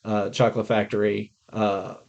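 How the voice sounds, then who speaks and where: A man's voice: lowish voice, flat delivery, normal speed, creaky, a little mellow, dull audio. An American man co-hosting a podcast, casually reviewing films with blunt, jokey complaints. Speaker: podcast co-host. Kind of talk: casual film review chat.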